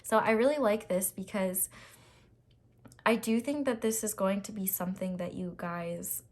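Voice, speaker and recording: Woman, tarot reader, slightly raspy, casual, reassuring, fillers and restarts. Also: mid-range voice, moderate pace. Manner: chatty, reassuring, many ums and restarts. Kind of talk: casual tarot reading to camera.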